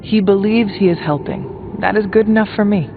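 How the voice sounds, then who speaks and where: An actor playing an earnest, calm hero leader in a video game, speaking formally and literally with full, careful phrasing. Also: male voice, sits high, moderate pace, gravelly, phone-quality sound, over music. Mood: calm, serious. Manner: formal, literal, avoids contractions. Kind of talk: video game dialogue acting.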